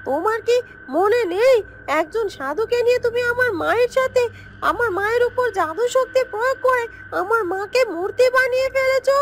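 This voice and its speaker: Shrill-pitched vengeful young village woman acted by a woman. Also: brisk, long pauses, a little hiss or hum. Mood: accusing. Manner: accusatory, vengeful, emphatic. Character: angry accusing young village woman avenging her mother. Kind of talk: Bengali fairytale cartoon voice acting.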